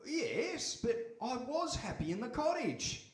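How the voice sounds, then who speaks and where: A put-upon fisherman husband, acted by a man in a radio play, plaintive and hesitant. His pitch is very high for a man and swings widely, with slow delivery in an echoey hall, far from the mic. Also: big pitch swings, full character voice. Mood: fretful. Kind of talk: live radio play acting. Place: stage before a live audience in a hall. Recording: echoey hall, distant microphone.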